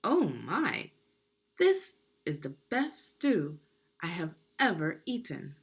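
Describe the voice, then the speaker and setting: A woman voicing an expressive, gracious storybook queen who loves desserts and savours her words. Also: on the low side, slow pace, husky, heavily acted. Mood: relieved.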